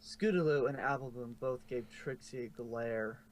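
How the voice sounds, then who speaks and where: Male narrator, storybook reading, medium pitch, hesitant with small self-corrections. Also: normal speed, a crowd in the background, rough recording. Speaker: fan fiction narrator.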